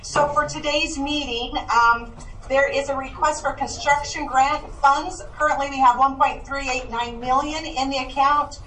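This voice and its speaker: High-voiced woman giving the finance report over a call speaker, brisk and businesslike, sounding distant in a reverberant room. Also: fairly even intonation, pushed and bright, slight background noise. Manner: brisk, matter-of-fact, reads figures. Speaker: finance officer giving an update. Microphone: heard through a call speaker in the room. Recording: distant, echoey call audio.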